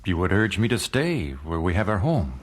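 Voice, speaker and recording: A man's voice: low voice, very animated, normal speed, breathy edge, full character voice. An actor playing an earnest, devout 19th-century family man, reflective, in a radio drama. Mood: hesitant, earnest. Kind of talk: radio drama narration and dialogue.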